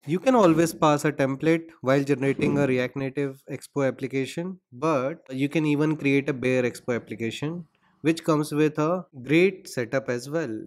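A man hosting a screen-recorded coding tutorial, explaining steps and spelling out terminal commands. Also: medium pitch. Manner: steady, instructional, spells out commands.

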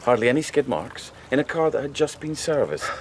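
An actor playing an earnest, long-suffering police sergeant, polite and dry, in a radio drama. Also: male voice, mid-range voice, big pitch swings, brisk, slight background noise, close to an everyday voice. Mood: probing.

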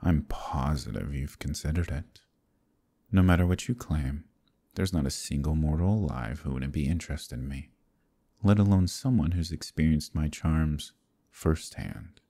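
A man voicing an arrogant, scheming Demon King who taunts and menaces in an ASMR roleplay, often dropping to a husky whisper. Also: extremely low pitch, moderate pace, dark tone. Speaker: voice actor.

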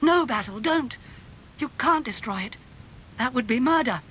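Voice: mid-range voice, unhurried, bright, forceful tone, lo-fi sound, slight background noise. A narrator playing an anguished, vain young man. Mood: urgent, pleading. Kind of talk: dramatised audiobook dialogue.

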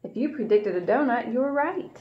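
A woman's voice: on the high side, lively intonation, dull audio. A young woman reading a picture book aloud to small children, like a preschool teacher asking them questions as she goes, recorded in a small room at home on a webcam. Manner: warm teacherly, asks the children questions and pauses. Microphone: webcam at arm's length.

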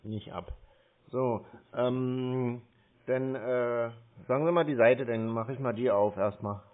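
A man in a casual phone conversation, with a middling pitch. He answers bluntly and dismissively, in short replies. The sound is narrow and tinny, as over a phone line. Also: fairly even intonation, takes it slowly. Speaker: scam-baiter posing as a customer on a call.

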